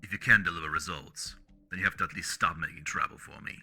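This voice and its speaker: An extremely deep, menacing man, played by an actor, with a harsh gravelly edge. He is impatient and coldly threatening, in game villain style over faint music. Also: fast, sharp tone, heavily acted. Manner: cold, threatening. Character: menacing, impatient male client demanding results. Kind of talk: English fan dub of a game story.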